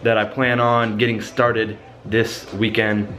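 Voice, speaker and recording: A man's voice: fairly low pitch, little pitch movement, slow pace, fairly bright tone, a little hiss or hum, poor audio. A young man in his twenties, a fitness vlogger, talking casually through his cooking step by step, recorded on a camera mic in a small home kitchen. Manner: plain step-by-step explaining. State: stuffed up with a cold. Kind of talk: casual how-to vlog narration.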